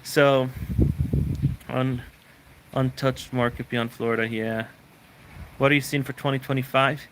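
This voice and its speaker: A man who grew up in Switzerland, chatting loosely through a live question-and-answer stream. His voice is bright and forward, at an ordinary middle pitch. He rambles, restarts his sentences and repeats words as he reads out viewer questions and answers them. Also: little pitch movement, unhurried, a little hiss or hum, poor audio. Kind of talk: casual live Q&A chat.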